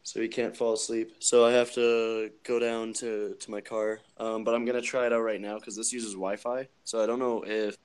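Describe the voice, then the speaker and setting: A man's voice: lowish voice, flat delivery, moderate pace, hardly any pauses, darkish tone, clear, resonant tone. A young man chatting casually on a phone call from home at night, rambling with lots of fillers and laughing easily. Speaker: podcast co-host. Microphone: phone on speaker.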